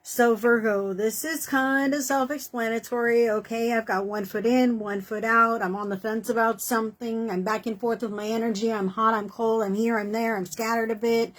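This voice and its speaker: Hoarse-voiced woman giving a tarot reading. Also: a little high, steady tone, normal speed, hardly any pauses. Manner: chatty, encouraging, many fillers like "you know" and "okay". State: losing her voice. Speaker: tarot reader. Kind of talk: casual tarot horoscope reading.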